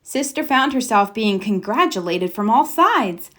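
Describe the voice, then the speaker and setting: A woman giving an expressive read-aloud narration of a children's storybook. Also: on the high side, a little slow.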